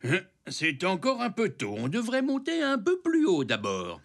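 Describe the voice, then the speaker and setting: A performer voicing a kindly, playful old zebra grandpa in a preschool cartoon, gentle and indulgent, with music behind. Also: medium pitch, huge pitch swings, moderate pace, gravelly, exaggerated voice. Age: older figure.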